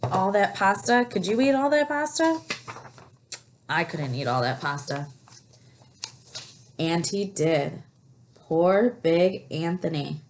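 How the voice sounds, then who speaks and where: A woman reading a picture book aloud in an expressive storytelling style, stopping to put questions to young listeners and explain things. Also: on the low side, slow pace, pauses often, a little hiss or hum.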